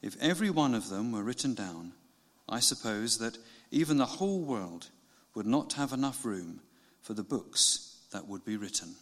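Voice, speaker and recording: A man's voice: on the low side, animated, moderate pace, fairly bright tone. A man giving a formal reading of scripture as a church reader. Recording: long church reverb.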